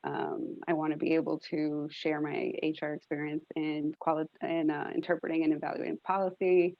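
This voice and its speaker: Phone-like audio, low-voiced American woman. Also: little pitch movement, moderate pace, vocal fry, no echo. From Rhode Island, United States. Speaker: task force member. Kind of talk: informal self-introduction in a meeting. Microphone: video call. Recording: muffled, phone-like call audio.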